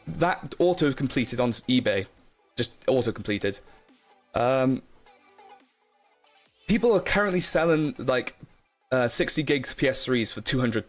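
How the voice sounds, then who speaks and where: A young man chatting casually on a live stream, rambling with lots of fillers and restarts while he answers chat. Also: mid-range voice. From Wales.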